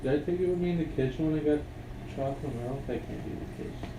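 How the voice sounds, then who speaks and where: A man's voice: a little high, fast talker. A young man with a congested, sniffly voice, chatting offhand to his stream as he plays. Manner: rambling, offhand, talks to himself. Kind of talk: casual stream chatter while playing. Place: room at home. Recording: echoey room, mic at a distance, background noise.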